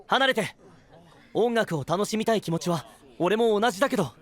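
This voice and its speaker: Serious, gentle young anime hero, fairly high voice, very expressive intonation. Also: male voice, normal speed. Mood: urgent warning. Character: earnest, responsible young male hero, self-blaming. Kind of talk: Japanese anime audio drama acting.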